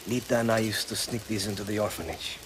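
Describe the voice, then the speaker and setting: A man's voice: on the low side, steady tone, fast, close to an everyday voice, low-quality recording. A man playing a wry, defiant young rebel recruit in a video game, speaking frankly and sardonically. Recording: noticeable ambient noise behind.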